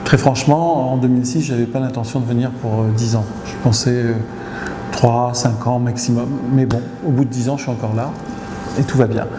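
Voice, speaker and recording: A middle-aged man speaks at an ordinary mid pitch, answering interview questions as a company chief. He pauses and hesitates often as he works through his sentences. His voice rings out with a long tail of reverb in a big echoey room, with steady background noise behind him. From Tunisia. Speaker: company CEO.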